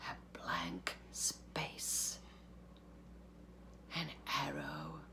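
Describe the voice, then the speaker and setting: A woman giving a literary reading, with deliberate, measured phrasing, long pauses and a breathy, gravelly voice. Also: very deep voice, very animated, sharp tone, in a whisper. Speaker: artistic director of a theatre, reading aloud.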